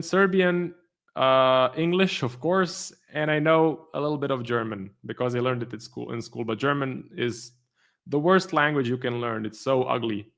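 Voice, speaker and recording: A man from Croatia who speaks English with a Croatian accent. He hosts a live trading stream in a relaxed way, reading out viewer questions and answering them as casual commentary. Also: mid-range voice. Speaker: trading livestream host.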